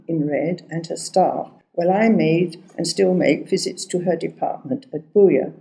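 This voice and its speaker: A woman's voice: on the low side, vocal fry, mellow, low-quality recording. An older woman academic narrating a prepared first-person account. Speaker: academic, research centre founder.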